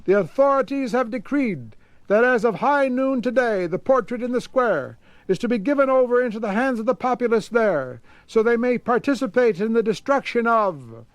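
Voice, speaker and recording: A man's voice: very high-pitched, lively intonation, normal speed, no echo, voice pushed up. A man voicing a mounted official who proclaims a decree in a formal, declamatory manner. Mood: proclaiming.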